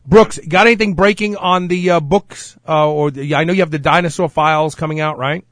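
A man's voice: on the high side, fairly even intonation, a little fast, a bit bright, dry recording, clean studio sound. A man in his fifties hosting a radio talk show, chatting energetically and proudly about getting older. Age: 50s. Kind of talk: casual radio show chat.

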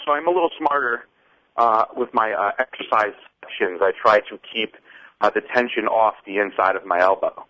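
A man of thirty, a guest on a radio show, calmly explaining his workout methods step by step. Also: medium pitch, rough recording. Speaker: fitness guest. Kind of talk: casual interview answers.

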